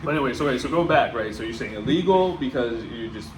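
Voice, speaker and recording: A man's voice: mid-range voice, animated, quick pace. A man co-hosting a casual comedy podcast, blunt and emphatic, swearing heavily. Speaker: podcast co-host. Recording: echoey room with clear background noise.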